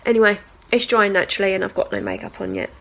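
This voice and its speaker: A woman's voice: mid-range voice, wildly swooping pitch, fast, vocal fry, a bit bright. A woman, chatting casually in a video diary at home with a stuffy nose from a cold, rambling with lots of "like" and "so yeah". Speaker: vlogger. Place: at home and in the garden.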